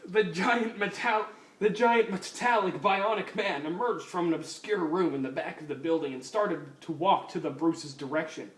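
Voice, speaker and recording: A man's voice: high voice, moderate pace, hardly any pauses, a bit bright. A man giving a mock-serious, comedic dramatic reading of a story as its narrator. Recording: echoey room, distant mic, faint hiss.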